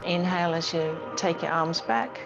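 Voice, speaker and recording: A woman leading a gentle yoga class as the teacher, guiding with a calm, soothing, gently instructing manner. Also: on the low side, normal speed, vocal fry, lo-fi sound, over music.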